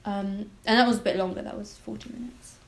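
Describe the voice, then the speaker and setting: A woman's voice: mid-range voice, fairly even intonation, fairly quick, gravelly, slight background noise. A young woman of about twenty, a medical student, chatting about her interviews with frequent likes and ums. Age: around 20. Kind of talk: casual interview answers.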